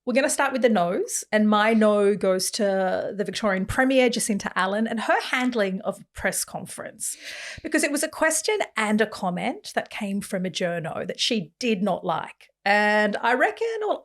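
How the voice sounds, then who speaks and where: A woman co-hosting a podcast in casual chat, nasal and congested from a cold, lively and quick to joke. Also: mid-range voice. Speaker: podcast co-host.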